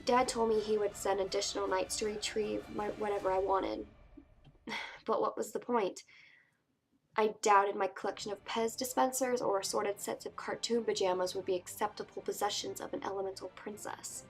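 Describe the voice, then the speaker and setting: A woman voicing a sardonic, anxious teenage princess-to-be, narrating a fantasy book in a measured, wistful way. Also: mid-range voice, steady tone, soft background music, natural voice. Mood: reflective. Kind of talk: first-person dramatic book reading.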